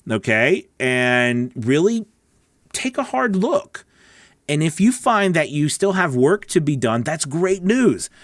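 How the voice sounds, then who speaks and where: A man's voice: a little high, dry recording. A middle-aged man giving casual, frank advice as a coach, conversational and often checking in with the listener, on a close studio microphone. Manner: frank, conversational, often checks in with "okay" and "you know what I mean". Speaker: voice-over coach and channel host. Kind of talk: casual advice talk to camera. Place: small home recording studio. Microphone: close broadcast microphone on a boom arm.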